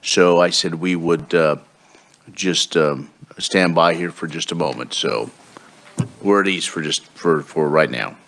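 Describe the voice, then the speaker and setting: A man chairing a legislative committee hearing. He runs the hearing in a relaxed, conversational way with some fillers. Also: very deep voice, measured pace. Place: state legislature committee room.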